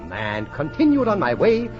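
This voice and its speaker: A man's voice: mid-range voice, wildly swooping pitch, over music. Pompous, swooping radio-comedy great man. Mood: grandly self-satisfied. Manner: pompous, halting, theatrical delivery. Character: vain, fussy self-styled great man narrating his life. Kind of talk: 1940s radio comedy acting. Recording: muffled old 1940s radio recording.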